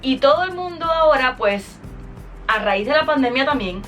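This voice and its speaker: A woman's voice: high-pitched, expressive, moderate pace, a bit bright, distant mic, faint music behind. A woman with a Cuban accent hosting her podcast in a chatty, informal monologue to her followers. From Cuba. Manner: chatty, informal, with English interjections. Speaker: podcast host and singer.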